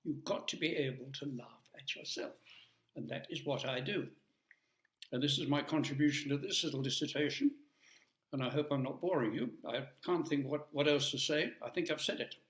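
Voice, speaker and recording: An older man rambles through a light-hearted talk, full of jokes and asides. His voice sits a little high for a man. The room around him is noticeably echoey. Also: moderate pace, a bit bright. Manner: chatty, jokey, rambling with asides. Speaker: guest speaker. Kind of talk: informal talk to an audience.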